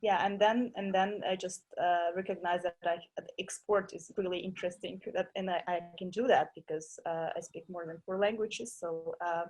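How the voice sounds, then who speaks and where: A woman's voice: on the low side, fairly even intonation, moderate pace, hardly any pauses, darkish tone, rough recording. A woman, a guest in a webinar interview, speaking hesitantly with many fillers and frequent small laughs. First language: Russian. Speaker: guest entrepreneur.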